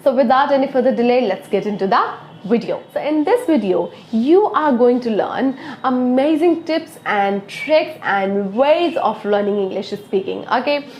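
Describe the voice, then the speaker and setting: A woman with an Indian accent teaches spoken English in an earnest, coaxing way, tagging her points with "okay?". Her voice sits fairly high. The recording has an echoey room sound. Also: expressive, moderate pace, darkish tone. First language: Hindi. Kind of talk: motivational video lesson.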